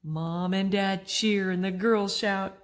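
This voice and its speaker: A woman reading a rhyming picture book aloud to children, expressively, pausing for a child to join in. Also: mid-range voice. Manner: expressive, prompting a child to fill in rhymes. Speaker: story reader and co-host.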